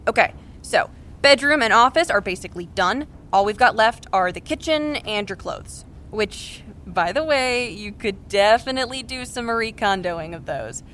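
A woman's voice: mid-range voice, lively intonation, normal speed, fairly bright tone, a little hiss or hum, lightly acted. A woman playing a wry, practical, supportive best friend in her thirties, in naturalistic audio drama dialogue. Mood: wry, teasing. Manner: casual, wry, encouraging.

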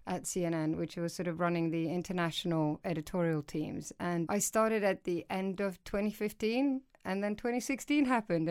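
A woman, answering interview questions in a studio. Her voice sits low and sounds smooth and resonant. She talks thoughtfully, often restarting a sentence or breaking into a brief laugh. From Iceland. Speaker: guest, news executive.

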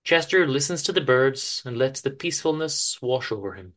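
A man giving a dramatic, expressive reading of fiction, with a theatrical storytelling manner. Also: medium pitch, moderate pace. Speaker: author and reader of a story.

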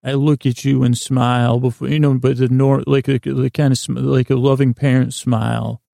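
A man hosting a bedtime podcast, giving a rambling, improvised monologue that meanders haltingly and keeps stopping and starting. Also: mid-range voice, level tone, creaky, warm tone, dry recording, studio quality. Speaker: podcast host and bedtime storyteller.

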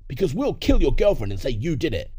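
A man's voice: medium pitch, huge pitch swings, fast talker, warm tone, slightly rough. A man voicing a bitter, taunting middle-aged criminal parent out for revenge in a story. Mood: menacing.

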